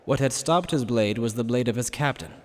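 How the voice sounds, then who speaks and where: A man's voice: mid-range voice, fairly quick, darkish tone, big-room sound. A man narrating a dramatic scripted fantasy action story.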